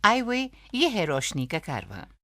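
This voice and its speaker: Lively woman presenting a radio show. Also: fairly low pitch, unhurried, no echo, studio quality. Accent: Indian.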